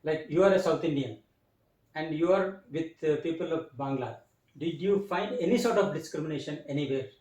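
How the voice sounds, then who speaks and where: A man hosting an interview, introducing his guest and asking questions in measured, slightly formal phrasing. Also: a little high, darkish tone, distant mic. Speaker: interview host. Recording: roomy, mic set back.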